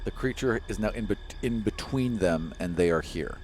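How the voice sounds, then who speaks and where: Guy running a tabletop rpg, lowish voice that swings around a lot, noticeable noise behind him. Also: animated, moderate pace, a little mellow. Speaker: game master and player on an actual play podcast. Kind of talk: improvised tabletop game narration.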